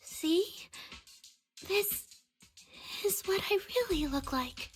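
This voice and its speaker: A woman's voice: extremely high pitch, expressive, takes it slowly, soft background music, exaggerated voice. A woman voicing a cute, earnest and self-doubting little female robot idol in an anime game. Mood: shy reveal.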